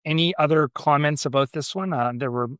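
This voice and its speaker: A man's voice: fairly high pitch, brisk, fairly bright tone, clean tone, dry recording, clean studio sound. A man hosting a casual soccer podcast, chatty and friendly as he recaps the weekend's matches. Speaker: podcast host. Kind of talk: casual sports chat.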